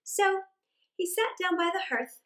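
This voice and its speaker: A middle-aged woman reading a children's story aloud dramatically, with expressive, drawn-out emphasis. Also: extremely high pitch. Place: small indoor room. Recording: roomy indoor echo.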